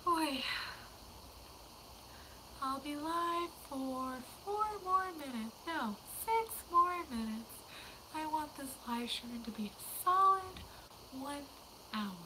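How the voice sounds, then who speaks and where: A young woman hosting a casual livestream, hoarse and losing her voice to a cold, chatting in a rambling way that slips into whispers. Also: high voice, lively intonation, slow, long pauses, background noise. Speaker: livestream host.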